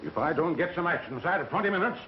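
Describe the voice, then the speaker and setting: An actor playing a bullying, ill-tempered sponsor in a 1930s melodrama, imperious and full of complaints. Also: male voice, fairly high pitch, expressive, rapid-fire, close to an everyday voice. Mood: imperious, angry. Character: bullying, ill-tempered male radio sponsor, demanding boss. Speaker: actor in a film. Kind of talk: 1930s film melodrama acting. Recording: muffled, hissy, echoey 1930s film soundtrack.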